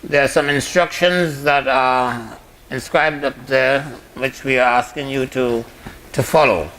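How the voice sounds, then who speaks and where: A man's voice: medium pitch, fairly even intonation, a little slow, a bit bright, a little hiss or hum, low-quality recording. A man chairing a question session, courteous and lightly joking. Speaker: moderator.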